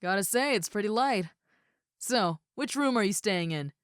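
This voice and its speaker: A woman's voice: mid-range voice, animated, normal speed, no room sound, studio quality, lightly acted. A woman playing a muscular female ogre roommate in a roleplay audio, friendly, easygoing and caring, with a casual, chatty way of talking and hesitant asides. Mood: easygoing, helpful. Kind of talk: roleplay audio acting, addressed to the listener.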